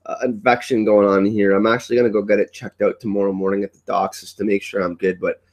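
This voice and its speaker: A man's voice: on the low side, little pitch movement, quick pace, darkish tone. A congested young man with a cough, hosting a casual livestream from home, upbeat and rambling as he greets his chat. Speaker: livestream host. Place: at home indoors.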